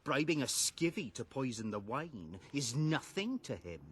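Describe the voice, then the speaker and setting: A rough-spoken male villager in a fantasy game, resentful and rustic. The voice is husky and swings in pitch. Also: big pitch swings, unhurried. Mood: grumbling. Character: resentful, rough-spoken peasant man demanding justice. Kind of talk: video game dialogue acting.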